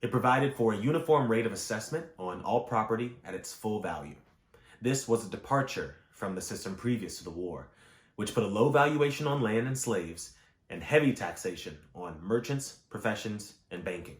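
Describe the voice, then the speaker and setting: A man reading historical nonfiction aloud in a measured, formal way, phrasing each clause clearly. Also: lowish voice, animated. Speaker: audiobook reader. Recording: roomy, echoing voice recording.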